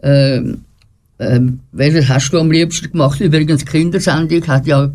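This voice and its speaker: A man's voice: on the high side, moderate pace, a little mellow. A man who hosts a radio talk show, asking questions in a studio and encouraging his guest with short acknowledgements. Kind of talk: conversational interview.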